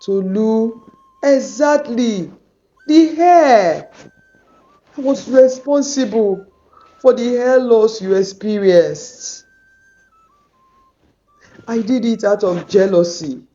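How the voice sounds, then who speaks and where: A woman's voice: a little high, slow, long pauses, dark tone, lo-fi sound. Woman playing a tearful pleading young woman.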